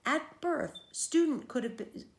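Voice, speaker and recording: A woman with a slightly high voice reads a children's story aloud in a roomy, echoing space. She speaks as a teacher does to young pupils, explaining as she goes. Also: a little slow. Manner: explanatory, addresses young listeners with questions. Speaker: teacher reading aloud to a class.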